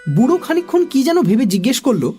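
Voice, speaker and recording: A man narrating a children's story in an expressive, theatrical way, also speaking as the young boy in it. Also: very high voice, quick pace. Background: music.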